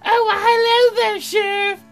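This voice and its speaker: Man doing a genteel frontier lady, very high, bright, sugary, flirtatious, game character. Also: level tone, measured pace, gravelly, soft background music, exaggerated voice.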